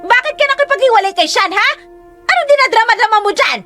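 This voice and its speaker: A woman playing a shrill, greedy scolding older woman. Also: expressive, fast, pushed and bright, soft background music, heavily acted. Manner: shrill berating, insulting. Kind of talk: radio drama acting.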